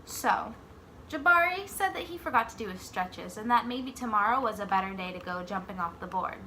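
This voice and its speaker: A woman reading a picture book aloud like a teacher, expressively, pausing to put simple questions to young children. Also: mid-range voice, expressive, sharp tone, slight background noise. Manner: pauses to ask simple questions and echo the answers.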